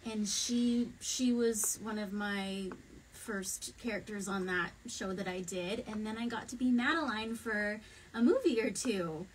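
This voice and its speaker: A woman's voice: mid-range voice, a little slow, slight background noise, low-quality recording. A woman voice actress guest reminiscing about early roles on a panel. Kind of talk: casual convention panel chat. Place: studio room with a table of merchandise.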